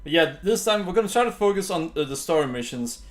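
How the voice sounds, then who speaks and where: Halting male game streamer, slightly high voice. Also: expressive. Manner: halting, thinking aloud. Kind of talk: casual game commentary. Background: game music.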